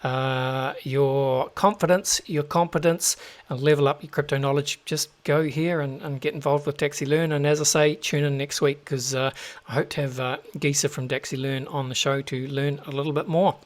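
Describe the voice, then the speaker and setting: A man's voice: on the high side, steady tone, normal speed. A man with a New Zealand accent hosting a show, talking casually to listeners with lots of fillers and restarts. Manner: chatty, many fillers and restarts. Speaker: host.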